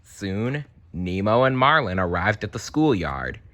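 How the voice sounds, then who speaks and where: A man reading a children's storybook aloud in an animated, chatty way, slipping in casual asides. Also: fairly low pitch, unhurried. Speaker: storybook reader.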